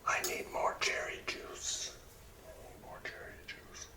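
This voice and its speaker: A man giving an ASMR cooking demonstration as a home cook, murmuring in short, sparse phrases in a kitchen. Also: measured pace. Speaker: home cook presenting a recipe. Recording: roomy kitchen echo, clattering dishes and clicks.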